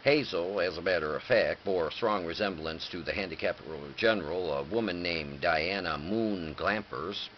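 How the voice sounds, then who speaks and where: A man's voice: sits low, moderate pace, hardly any pauses. A man reading a story aloud as narrator, occasionally stumbling and correcting himself. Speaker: reader. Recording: dull lo-fi recording with clear background noise.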